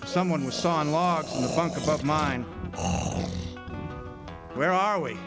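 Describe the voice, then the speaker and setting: An actor playing a wry, defiant war-veteran drifter who also narrates a hardboiled radio drama. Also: male voice, a little high, measured pace, lightly acted. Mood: groggy, pained. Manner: wisecracking, hardboiled. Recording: live amphitheater stage, music behind.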